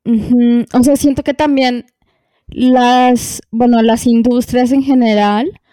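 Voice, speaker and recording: A woman's voice: on the high side, steady tone, takes it slowly, mellow, dry recording, studio quality. A woman talking on a podcast in a casual way, hesitant and searching for words, with many fillers. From lived in Colombia.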